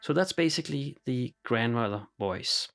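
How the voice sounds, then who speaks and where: A man walking through a synthesizer patch in a home studio, talking in a relaxed, halting way with frequent ums and uhs. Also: medium pitch, vocal fry. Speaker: synthesizer demonstrator. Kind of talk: hands-on patch explanation. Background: synthesizer music.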